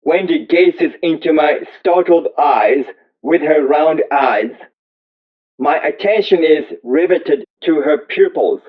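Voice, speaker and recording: A man reading a first-person story aloud as scripted narration, in slow, deliberate, measured phrases. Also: a little high. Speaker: audiobook reader. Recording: thin, phone-like narrow-band audio.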